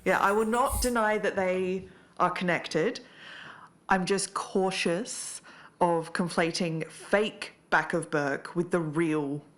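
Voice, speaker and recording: A woman playing a measured, sceptical co-host of an investigative podcast. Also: fairly low pitch. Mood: cautious, sceptical.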